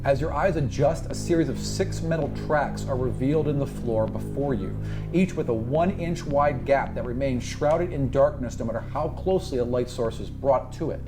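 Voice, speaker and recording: A male game master narrates a tabletop fantasy adventure. He describes scenes with dramatic flair at a middling pitch. Loud music plays under his voice throughout. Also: normal speed.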